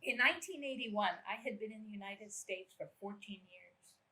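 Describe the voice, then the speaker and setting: An older woman from Puerto Rico reads her own writing aloud as a keynote speaker in a university amphitheater. Her delivery is measured and lyrical, easing back and forth between Spanish and English, with a voice of ordinary pitch for a woman. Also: sharp tone, low-quality recording. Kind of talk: reading aloud from her own memoir-style prose.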